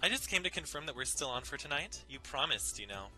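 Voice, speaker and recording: Man putting on a haughty higher-pitched alien warrior woman. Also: sing-song, fast, bright, forceful tone, soft background music, full character voice. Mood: insistent. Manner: haughty, formal, emphatic. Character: proud, bloodthirsty alien warrior woman who adores pink.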